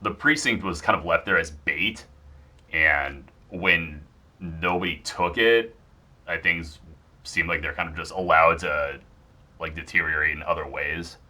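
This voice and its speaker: A man's voice: low voice, a little slow, pushed and bright. A man talking casually as a podcast guest, rambling and hedging as he goes, often saying like.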